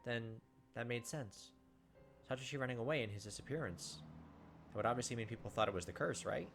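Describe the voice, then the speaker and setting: Guy doing dramatic visual novel read-aloud, emotive, medium pitch, music behind him. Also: brisk, vocal fry, fairly bright tone. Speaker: gaming video host. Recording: background music under the voice. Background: game music.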